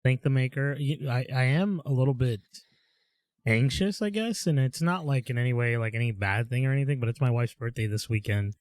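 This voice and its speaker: Young male co-host, mid-pitch, rambling upbeat storyteller, dry close mic. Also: fairly quick, darkish tone, no room sound. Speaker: podcast co-host. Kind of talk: casual chat.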